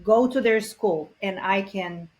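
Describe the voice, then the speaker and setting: A woman, a guest in an interview, talking earnestly and reflectively with frequent pauses and fillers. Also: medium pitch, a little mellow. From Bulgaria. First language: Bulgarian. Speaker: guest expert on multilingual parenting. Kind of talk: conversational interview.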